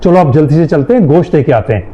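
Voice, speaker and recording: A man acts out a principled, strict father in a moral-story drama. His voice is high and lively, with a soft, mellow tone. He speaks emphatically, like a parent used to scolding and lecturing. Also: lo-fi sound, close to an everyday voice. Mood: earnest. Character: strict but principled father, middle-aged, quick to scold. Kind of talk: Urdu moral-story audio drama acting.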